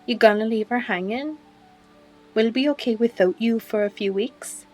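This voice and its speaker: A woman playing a calm, helpful tavern bartender in a fantasy audio roleplay, speaking politely and in a measured way. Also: a little high, faint music behind, lightly acted. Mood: gently prodding.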